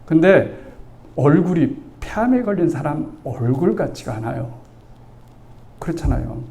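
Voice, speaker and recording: A gravelly, mellow-voiced man in his sixties preaches as a pastor. He tells a personal story in a chatty way, acting out the dialogue and turning to the congregation for agreement. His voice sits somewhat high for a man. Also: measured pace. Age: 60s. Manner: conversational, asks the congregation for agreement; animated storytelling, acts out the dialogue. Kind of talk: storytelling sermon.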